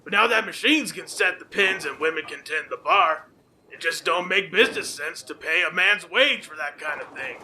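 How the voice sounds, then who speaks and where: Sleazy older male proprietor, crude and hearty, gravelly and sharp, 1950s noir radio play. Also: fairly high pitch, lively intonation, moderate pace, slight background noise, heavily acted. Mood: glib. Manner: hearty, lewd, loud greetings. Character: sleazy, crude, jovial older bowling alley owner.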